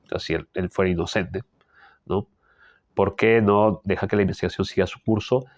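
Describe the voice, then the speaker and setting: Low-voiced man reading political commentary. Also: fairly even intonation, normal speed, dry recording, muffled recording. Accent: Peruvian Spanish. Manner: frequent throat clearing and tag questions. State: allergic, clearing his throat. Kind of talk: reading a news column aloud with personal asides.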